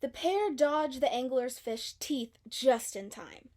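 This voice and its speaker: A woman's voice: sits high, lively intonation, slow, darkish tone. A woman reading a children's storybook aloud as a narrator. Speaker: storybook reader.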